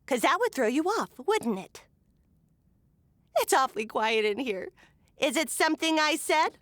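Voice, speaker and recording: An actress playing a scheming small-town mayor in a comedic audio drama, with a theatrical, mock-sweet, folksy and condescending delivery. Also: female voice, sits high, sing-song, moderate pace, a bit bright, no echo. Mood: taunting.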